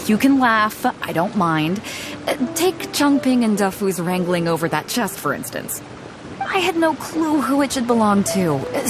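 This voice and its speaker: An actress voicing a confident, laid-back female ship captain in a game cutscene, laughing easily. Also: on the high side. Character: bold, hearty female ship captain, easygoing. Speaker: game character. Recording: background game music under the voice.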